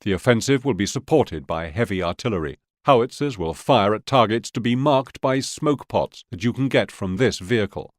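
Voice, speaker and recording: Guy doing a stern soviet officer briefing troops, lowish voice, dry close recording, war game. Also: expressive, moderate pace, few pauses, dry recording, close to an everyday voice. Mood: firm, resolute. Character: stern Soviet male military officer briefing his men.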